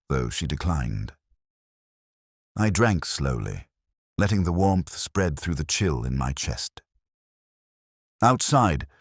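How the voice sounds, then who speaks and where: Male narrator, very deep register, varied intonation. Measured, literary, reflective mystery storytelling in a dry recording. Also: no room sound. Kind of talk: scripted first-person detective fiction narration.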